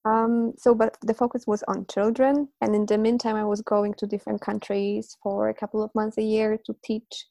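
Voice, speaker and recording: A woman's voice: medium pitch, flat delivery, normal speed, vocal fry, hardly any pauses, no echo. A woman in her thirties, a native Polish speaker, reflectively answering interview questions about teaching and learning, thinking aloud as she goes. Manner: reflective, thinking aloud with fillers and soft laughs. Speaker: interview guest, language teacher and psychologist.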